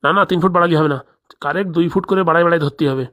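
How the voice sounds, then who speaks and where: A woman's voice: lowish voice, racing, fairly bright tone, natural voice. A woman voicing a crooked, bossy male village boss in a comedy cartoon, scheming aloud with a rural Bengali accent.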